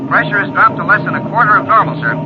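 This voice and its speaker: High, quick-talking lieutenant. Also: male voice, lively intonation. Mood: dutiful reporting. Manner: formal, crisp military reporting. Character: earnest, dutiful male space control lieutenant. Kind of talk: 1950s radio serial acting. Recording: muffled old radio recording, loud noise.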